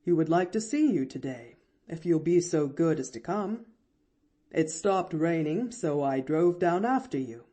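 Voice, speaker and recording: A woman's voice: sits low, lively intonation, a little slow, warm tone. Woman voicing a grave, kindly male doctor. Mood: courteous. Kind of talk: audiobook character voice.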